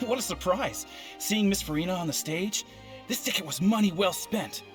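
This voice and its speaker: High-voiced theatregoer. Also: male voice, animated, moderate pace, music in the background. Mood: delighted. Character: delighted theatregoer praising a stage show. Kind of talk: video game voice acting.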